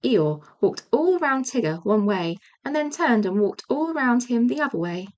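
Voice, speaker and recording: A woman narrates a children's storybook. Her voice is on the high side. She reads as an audiobook storyteller, following a written text. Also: sing-song, moderate pace, lo-fi sound.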